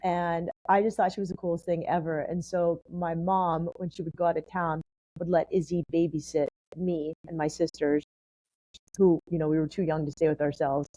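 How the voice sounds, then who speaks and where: A woman's voice: on the low side, flat delivery, normal speed, dark tone, no room sound, low-quality recording. A woman from San Diego, a podcast guest, telling long personal stories in a chatty, rambling way with plenty of "like" fillers. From San Diego, California. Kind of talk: casual conversational storytelling.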